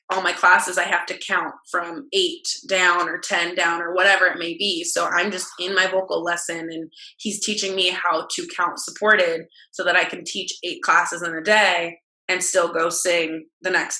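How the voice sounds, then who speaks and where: Bright-voiced young woman in echoey room. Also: lowish voice, flat delivery, unhurried. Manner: open, self-reflective, talks with many fillers. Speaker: guest, dancer and fitness instructor. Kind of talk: candid conversational interview.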